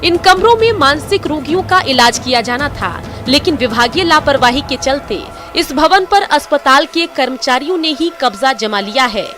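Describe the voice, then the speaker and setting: A woman's voice: high-pitched, lively intonation, normal speed, hardly any pauses, a bit bright, soft background music. A woman with an Indian accent delivering a scripted television news voice-over. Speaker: news narrator.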